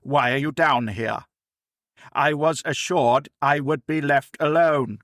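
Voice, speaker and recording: A man voicing a stern, gruff older Victorian professor who speaks curtly and commandingly. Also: fairly high pitch, fairly bright tone, dry recording, clean studio sound. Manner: gruff, curt, slow and commanding.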